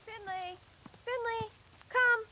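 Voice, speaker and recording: High-voiced, breezy woman dog owner. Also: steady tone, slow pace, sharp tone, slight background noise, full character voice. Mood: exasperated. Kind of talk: audio drama acting.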